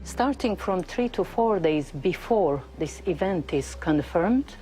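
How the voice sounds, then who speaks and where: A middle-aged woman explaining as an interviewed operations expert, pausing mid-sentence. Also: lowish voice, expressive, mellow, soft background music. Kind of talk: explaining at a display.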